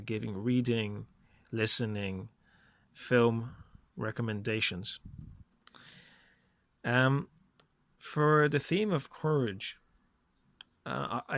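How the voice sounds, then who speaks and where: Male solo podcast host, medium pitch, reflective and measured, emphatic stresses, dry recording. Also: fairly bright tone, no room sound. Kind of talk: reflective solo podcast monologue with poem reading.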